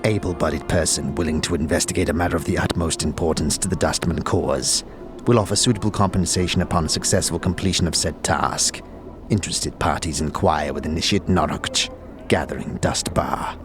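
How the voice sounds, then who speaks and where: Man putting on a low, solemn notice-reader. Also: fairly even intonation, music in the background, lightly acted. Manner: formal, measured.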